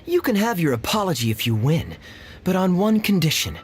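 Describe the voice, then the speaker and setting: A man's voice: sits high, big pitch swings, a little fast, a little hiss or hum. An actor playing a confident, challenging young man in a video game, breezy and assertive, with an assured, articulate manner. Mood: indignant, challenging. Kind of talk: acted video game dialogue.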